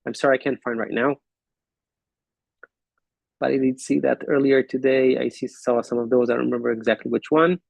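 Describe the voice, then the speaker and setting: Gravelly man thinking aloud. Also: mid-range voice, steady tone, no room sound. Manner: halting, thinking aloud with fillers and restarts. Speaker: host of an online business forum. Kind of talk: casual screen-share walkthrough.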